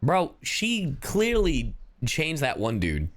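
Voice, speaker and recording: Animated, excitable male game streamer. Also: fairly high pitch, takes it slowly. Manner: excitable, slangy, foul-mouthed. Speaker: livestreamer. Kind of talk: casual live game commentary to chat.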